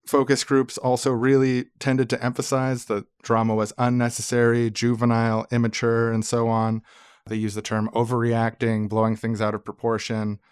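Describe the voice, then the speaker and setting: A man's voice: mid-range voice, completely flat delivery, moderate pace, clean tone, no echo, studio quality. A man co-hosting a podcast, explaining things in an informal, conversational way. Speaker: podcast co-host.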